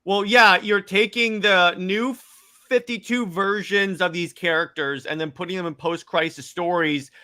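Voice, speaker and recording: A man's voice: high-pitched, fairly even intonation, moderate pace, sharp tone, smooth. A man co-hosting a casual podcast chat about comics, stammering and restarting often as he argues his point. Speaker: podcast co-host.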